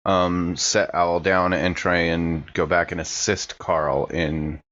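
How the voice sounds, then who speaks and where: A man with a lowish voice plays a tabletop game. He casually improvises his moves, hesitating as he thinks aloud, on a slightly dull recording. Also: flat delivery, slow pace, fairly bright tone. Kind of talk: casual improvised role-play.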